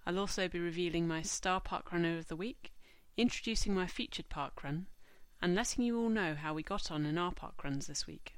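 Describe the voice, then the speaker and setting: A woman's voice: fairly low pitch, smooth, no room sound. Stuffed-up woman podcasting. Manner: measured, informative, reads lists slowly. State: stuffy from a cold. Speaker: podcast host. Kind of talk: scripted podcast monologue.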